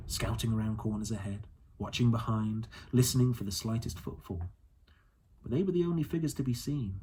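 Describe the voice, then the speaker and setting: A man's voice: fairly low pitch, a little mellow, slight background noise. A man reading a storybook aloud as an audiobook narrator. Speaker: audiobook reader.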